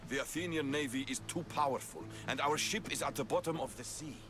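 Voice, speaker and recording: A man's voice: a little high, brisk, music in the background, natural voice. A man voicing a proud Spartan commander in a game cutscene, speaking bluntly and with command. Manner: blunt and commanding, with fierce swings. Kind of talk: video game cutscene acting. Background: orchestral score.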